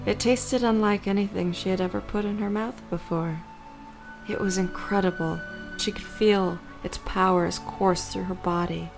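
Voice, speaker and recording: A woman reading scripted story narration for an audio drama in a measured, creaky voice. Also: medium pitch, fairly even intonation, over music.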